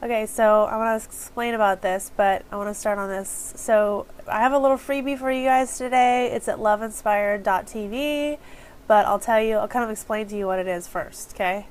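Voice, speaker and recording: A woman's voice: mid-range voice, moderate pace, smooth. A woman with a head cold hosting a casual live stream from her phone. She is chatty and apologetic and greets viewers by name. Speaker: live stream host for small business owners. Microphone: phone camera.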